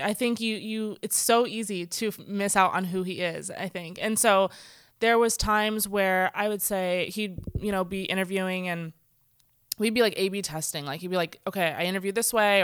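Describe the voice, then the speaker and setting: A woman's voice: medium pitch, brisk, a bit bright, clean tone, no echo, clean studio sound. A woman talking in a casual conversation, chatty and full of filler words, acting out quoted speech. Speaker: guest speaker. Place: church stage.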